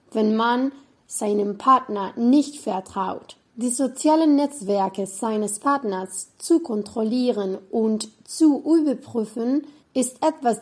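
Woman, twenties, native Spanish speaker, student presenter, husky, unhurried careful reading. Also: a little high, darkish tone. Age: 20s. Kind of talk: reading a prepared talk.

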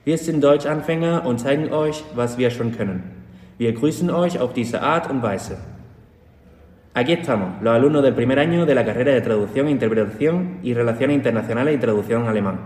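A man's voice: mid-range voice, moderate pace, darkish tone. Young man reading a greeting. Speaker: language student. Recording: echoey room with long reverb.

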